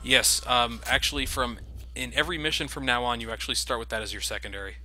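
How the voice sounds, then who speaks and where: A man's voice: medium pitch, steady tone, quick pace, bright, forceful tone, a little hiss or hum. A young man casually commenting over game cutscenes, joking and critical of the game as the host. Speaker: let's play host.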